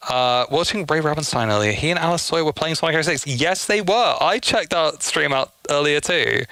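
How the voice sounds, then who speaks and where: Chatty male streamer with a bright, creaky voice. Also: medium pitch, dry recording. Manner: effusive, casual swearing. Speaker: streamer hosting a live game stream. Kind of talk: casual livestream chat.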